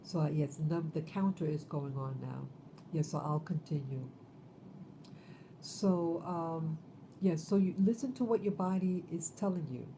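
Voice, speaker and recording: A woman in her fifties hosting a casual livestream, talking in a rambling, halting way with many fillers. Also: low voice, warm tone, slight background noise, rough recording. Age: 50s. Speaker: livestream host.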